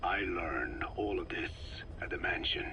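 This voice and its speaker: An actor playing a frail, grave old scientist in a video game, speaking in slow, formal, halting phrases with a breathy rasp. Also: male voice, low-pitched, animated, muffled recording, background noise. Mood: grave, explanatory. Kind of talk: dramatic video game dialogue.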